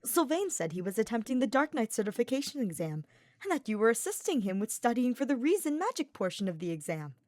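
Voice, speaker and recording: A woman's voice: on the high side, sing-song, quick pace, a little mellow, no echo, studio quality, pitched above the performer's own voice. A woman voicing an earnest, cheerful young nobleman knight in an audiobook, with florid and formal phrasing. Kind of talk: fan fiction audiobook character voice.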